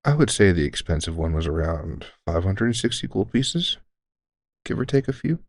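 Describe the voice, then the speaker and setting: A man playing a deranged centuries-old elf shopkeeper who is courteous, formal and unsettlingly calm, in an audio roleplay. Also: low voice, moderate pace, no echo, full character voice. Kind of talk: scripted audio roleplay addressing the listener.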